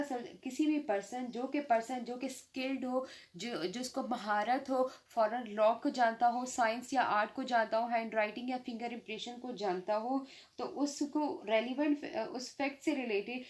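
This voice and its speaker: Woman, Pakistani accent, fairly high pitch, lecturer, informal explanatory delivery, code-mixed English terms. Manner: explanatory, slows down to read out English legal terms. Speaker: law lecturer. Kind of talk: informal lecture explaining legal articles, mixing Urdu and English.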